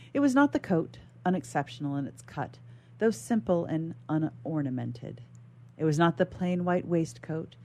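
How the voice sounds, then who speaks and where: A woman reads a classic novel aloud in a low voice with a soft, mellow tone. She sounds like a guest reader on a radio show, narrating formal literary prose.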